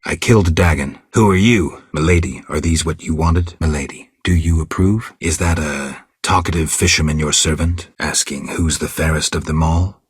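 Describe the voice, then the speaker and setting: Game casting: world-weary witcher, rock-bottom bass register, gravelly, terse and deadpan, recorded very dry. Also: dry recording, heavily acted. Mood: firm, pressing. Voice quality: gravelly, husky. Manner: terse, restrained, deadpan delivery. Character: stoic, world-weary male monster-hunting witcher. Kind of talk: video game character dialogue.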